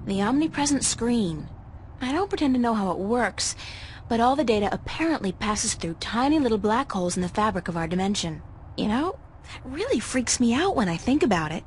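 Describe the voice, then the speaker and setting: An actress playing a casual, curious young art student in a video game, with a dry, sardonic manner. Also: female voice, a little high, very animated, fairly quick, a little hiss or hum. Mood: wry, musing. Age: young adult. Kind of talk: video game dialogue acting.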